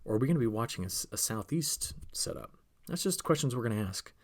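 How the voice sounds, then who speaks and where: A man's voice: mid-range voice, expressive, fast talker, a little mellow. A man presenting an informal weather outlook, explaining in a conversational, emphatic way and posing rhetorical questions as a forecaster.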